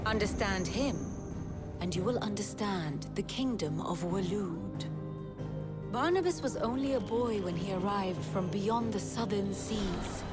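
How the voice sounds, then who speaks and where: An actress playing an imperious, dry-witted scholar of war in a video game, lecturing in a British accent. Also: female voice, mid-range voice, natural voice. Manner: exacting, sardonic, lecturing. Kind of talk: video game cutscene acting, measured exposition. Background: prominent music.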